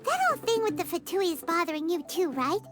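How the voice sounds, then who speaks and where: A tiny floating fairy companion from a video game, chatters in a squeaky, childlike voice. It sits extremely high and bounces around with bubbly excitement. Loud music plays behind it. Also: female voice, fairly quick. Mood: eager. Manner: bubbly, exclamatory.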